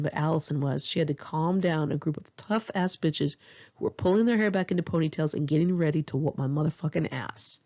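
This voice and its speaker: Female author-narrator, candid and conversational memoir read, lively intonation, gravelly fry, slightly dull home-recorded sound. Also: fairly low pitch. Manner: candid, wry, profane, conversational. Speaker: author reading own memoir. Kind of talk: memoir audiobook narration with casual asides.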